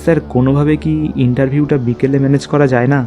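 A man's voice: medium pitch, little pitch movement, very fast, warm tone, faint music behind, lightly acted. A man playing a young, friendly, dutiful reporter in casual cartoon story dialogue. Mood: deferential.

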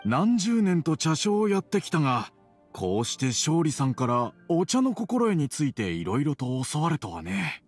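Courteous, husky middle-aged tea merchant. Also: male voice, on the high side, sing-song, measured pace, faint music behind, heavily acted. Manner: polite, dignified, formal wording. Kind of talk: Japanese game voice acting.

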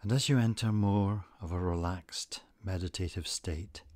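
A man narrating a scripted guided meditation, giving gentle instructions in a calm, deliberate manner. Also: low voice, no echo.

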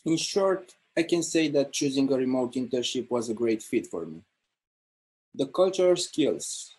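A young man speaking as an intern on a panel over a video call, earnest, with pauses and restarts. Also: medium pitch, expressive, darkish tone, slightly rough. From Romania. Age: young adult. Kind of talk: panel talk.

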